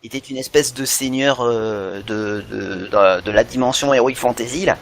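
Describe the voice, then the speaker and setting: A man's voice: mid-range voice, moderate pace, vocal fry. A man chatting enthusiastically as a podcast co-host about things he loves, often repeating himself. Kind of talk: animated fan discussion of cartoons.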